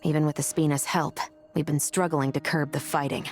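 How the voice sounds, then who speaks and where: A woman's voice: sits low, steady tone, brisk, faint music behind, natural voice. An actress playing a stern, dutiful female officer in a video game, serious, blunt and businesslike. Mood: grave. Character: stern, dutiful woman law-enforcement officer. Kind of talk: video game character acting.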